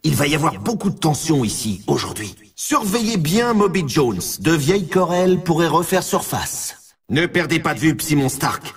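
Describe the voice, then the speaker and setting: A man playing an excited, upbeat snowboard race announcer in a video game, with showman commentary and a husky voice. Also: a little high, moderate pace, far from the mic, full character voice. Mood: hyped.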